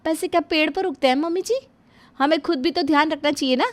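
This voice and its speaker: A woman voicing a rude, sharp-tongued young daughter-in-law who snaps scornfully at her mother-in-law. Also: high-pitched, moderate pace, no echo, voice pushed up. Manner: snappy, scornful, lively.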